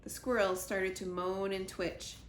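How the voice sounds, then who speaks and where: A woman librarian reading a children's chapter book aloud, lively, jumping up in pitch for the characters. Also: a little slow, echoey room, slight background noise. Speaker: school librarian. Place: school library.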